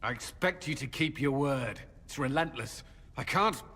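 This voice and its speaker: An actor playing an earnest, troubled military commander in a game, speaking with formal, halting intensity. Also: male voice, medium pitch, animated, bright, forceful tone, husky, exaggerated voice. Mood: frustrated. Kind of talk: dramatic game voice acting. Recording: long reverb, noticeable noise behind.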